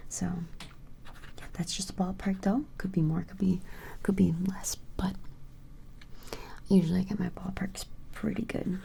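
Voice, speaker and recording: A woman whispering softly and gently in an ASMR personal-attention role play, hesitant and reassuring. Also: mid-range voice, fairly even intonation, normal speed, long pauses, slight background noise. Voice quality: soft breathy whisper with fry. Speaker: ASMR performer playing an appraiser taking measurements.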